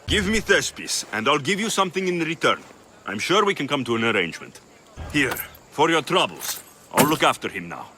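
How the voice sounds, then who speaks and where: An actor playing a firm, no-nonsense young Greek mercenary hero in a video game, blunt and commanding. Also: male voice, on the high side, very animated, a little fast, noisy background.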